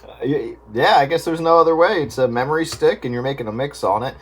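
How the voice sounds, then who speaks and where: A man's voice: mid-range voice, fast talker, heavy background noise. A man having a casual, unscripted chat over the radio.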